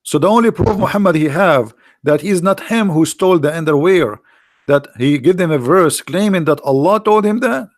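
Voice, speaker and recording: A man hosting a talk show, mocking and sarcastic, going hoarse as he loses his voice. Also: a little high, animated, normal speed, no room sound. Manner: mocking, sarcastic, with a non-native grammar. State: losing his voice. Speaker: host.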